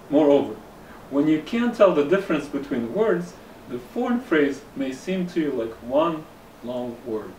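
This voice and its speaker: A man's voice: fairly high pitch, a little mellow, slight background noise. Russian-accented man teaching in an echoey room. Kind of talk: scripted lesson narration. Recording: roomy room echo, somewhat distant mic, faint ambience.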